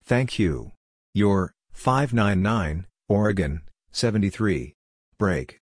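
Low-pitched, slow-speaking man. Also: animated, slightly rough, dry recording, studio quality. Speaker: narrator of a Morse code phrase drill. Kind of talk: scripted read-out of short radio phrases.

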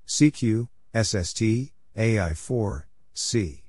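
A man with a fairly low, very mellow voice delivers a practice readout. He gives short, separate phrases and spells out letters and numbers one by one. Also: expressive, slow pace. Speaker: Morse code practice narrator. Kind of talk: spoken readout for code practice.

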